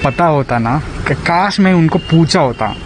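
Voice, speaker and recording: A man with an Indian accent recounting events on a recorded phone call, halting and slipping into English. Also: on the high side, lively intonation, noisy background.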